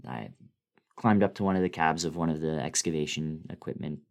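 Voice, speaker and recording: Male narrator, very low voice, suspenseful and theatrical horror storytelling with pregnant pauses. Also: vocal fry, a little mellow. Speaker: guest reader of a horror story. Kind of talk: dramatic first-person horror story reading.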